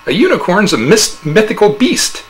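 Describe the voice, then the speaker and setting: Man playing an excitable high-pitched dreamy husband. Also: moderate pace, heavily acted. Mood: feigned innocence. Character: excitable, chirpy, mild-mannered dreamy husband.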